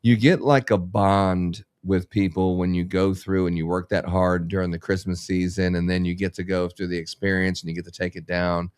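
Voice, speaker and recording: Blunt, low-voiced business owner. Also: male voice, flat delivery, normal speed, few pauses, a little mellow, no echo. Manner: blunt, profane, rambling, motivational. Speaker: business owner and podcast host. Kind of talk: casual podcast chat.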